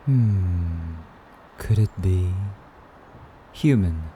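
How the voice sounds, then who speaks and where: A man's voice: low voice, expressive, very slow, mellow, a little hiss or hum, exaggerated voice. A man playing a courtly, wistful male vampire in an ASMR roleplay, speaking in a musing, slightly archaic, haughty yet polite manner. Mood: coaxing, impatient. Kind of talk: ASMR roleplay monologue addressed to listener.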